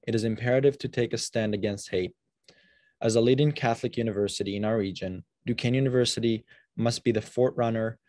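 A man's voice: fairly low pitch, a little mellow, no room sound. A young man from Israel, a student leader, giving an earnest prepared speech with small restarts, recorded indoors on a video call. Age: young adult. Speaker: student president. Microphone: webcam on a video call.

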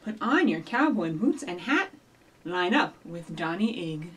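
Middle aged lady doing kids storytime, super up and down voice, simple and animated, mic kinda far away. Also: on the low side, unhurried, breathy edge. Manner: animated, simple instructions addressed to young children. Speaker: children's librarian hosting storytime. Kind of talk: children's storytime with rhymes and read-aloud. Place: small indoor office or library room with block walls. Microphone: camera a few feet away. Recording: distant camera microphone.

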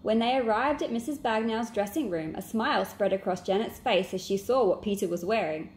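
Young woman, storybook reader, medium pitch, home camera sound with some background noise. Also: brisk. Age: young adult. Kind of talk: reading a children's novel aloud. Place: small indoor room at home.

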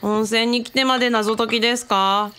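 A woman playing an obliging young assistant, modest and deferential with a gently dry manner, in a radio drama. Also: on the high side, steady tone, moderate pace, clear, resonant tone. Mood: dryly reluctant.

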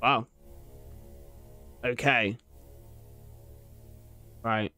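A man's voice: mid-range voice, steady tone, very slow, pushed and bright, soft background music. A young man giving casual reaction commentary in slangy, sweary language, recorded close on a desk microphone at home. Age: young adult. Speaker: reaction video host. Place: small room at home, indoors. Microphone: large desk microphone, close up.

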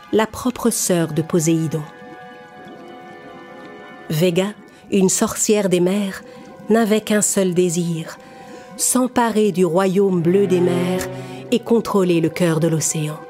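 A woman narrating a children's cartoon tale in clear, even storytelling. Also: fairly low pitch, takes it slowly, long pauses, soft background music.

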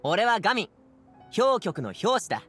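A man's voice: sits high, sing-song, normal speed, a bit bright, soft background music. A man voicing a friendly, upbeat young man in an anime-style game, talking in a breezy, boyish, informal way. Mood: friendly, bashful.

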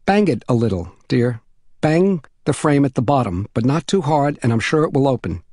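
A man voicing a calm, motherly housewife who patiently and gently reassures a child in an audiobook story. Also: mid-range voice, moderate pace, dry recording, studio quality. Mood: reassuring.

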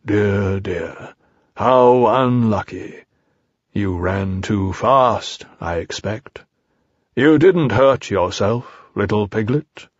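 A gloomy old male donkey from a storybook, put on by a man reading aloud. He drones each word in a slow, resigned drawl. The recording is dry and slightly dull. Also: fairly low pitch, breathy edge, no echo, pitched below the performer's own voice, heavily acted. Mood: mournful. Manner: slow, droning, mournful drawl.

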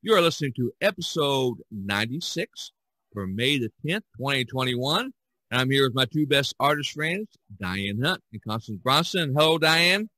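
An American man hosting a podcast in a conversational, halting and hesitant way. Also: medium pitch, lively intonation, sharp tone, dry recording, rough recording. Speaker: podcast host.

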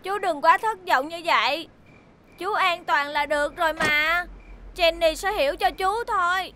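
A woman's voice: extremely high pitch, unhurried, heavily acted. A woman voicing a cheerful, encouraging young rescue vehicle in a dubbed children's cartoon. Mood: comforting. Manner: upbeat, encouraging. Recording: loud children's music behind the voice. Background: loud children's music.

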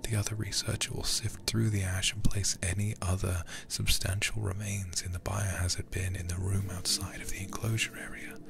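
Deep, creaky, whispering man. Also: level tone. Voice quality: breathy whispering, low and gravelly. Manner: slow, deliberate reading with intimate asides to viewers. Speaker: livestreamer playing a horror game. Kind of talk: ASMR game stream, softly reading game text aloud and commenting. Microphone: close microphone.

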